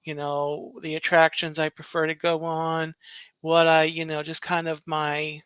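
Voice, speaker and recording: A soft-spoken man chatting casually on a live stream, unhurried and rambling, with plenty of fillers. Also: on the high side, completely flat delivery, clear, resonant tone, no room sound, rough recording. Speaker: co-host, stream guest.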